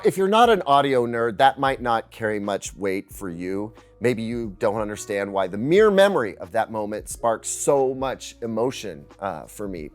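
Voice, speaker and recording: Expressive American man telling a personal story. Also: mid-range voice. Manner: earnest, emotive, confiding delivery with dramatic pauses. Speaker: tech and speaker reviewer, video host. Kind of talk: personal scripted storytelling to camera.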